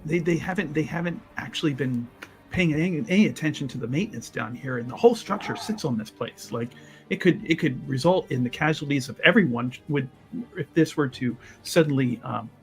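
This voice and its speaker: A mild, courteous adventurer played by a man in a tabletop fantasy game, with a somewhat high voice. He speaks haltingly in polite, bookish phrases. There is faint music behind him. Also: natural voice. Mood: halting, concerned.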